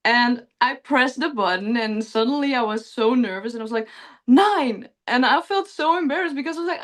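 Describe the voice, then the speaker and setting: Chatty young Dutch-accented woman on a video call. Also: on the high side. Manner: earnest, chatty, laughs often, relives moments with lively quoting. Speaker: pageant contestant, guest. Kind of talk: casual interview answers.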